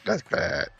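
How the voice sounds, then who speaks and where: A young man doing casual gameplay commentary on a stream, excitable and quick to doubt what he hears. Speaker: game streamer.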